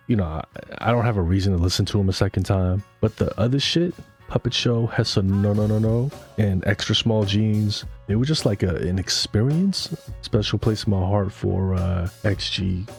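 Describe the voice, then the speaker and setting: Man, very low voice, hoarse, tired, laid-back slangy talk, music producer vlog. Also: darkish tone. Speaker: music producer reacting to an album. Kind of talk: casual reaction commentary.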